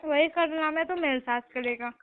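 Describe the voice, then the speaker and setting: A woman's voice: high-pitched, normal speed. A young woman chats casually on a phone call, taunting playfully. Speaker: sister on a call. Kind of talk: casual teasing phone chat. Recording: tinny narrowband phone call.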